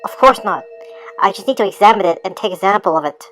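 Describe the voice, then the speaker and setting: A woman's voice: mid-range voice, animated, fast, a bit bright, soft background music, lightly acted, low-quality recording. A woman playing a practical, matter-of-fact police forensic officer in an amateur audio drama.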